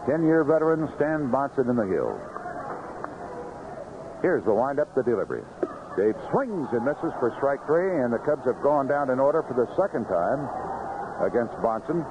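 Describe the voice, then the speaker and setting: Animated American baseball announcer, noisy old radio. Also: male voice, mid-range voice, normal speed, highs cut off. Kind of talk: live radio sports commentary. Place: outdoor ballpark broadcast booth. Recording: noisy vintage 1970s AM radio broadcast.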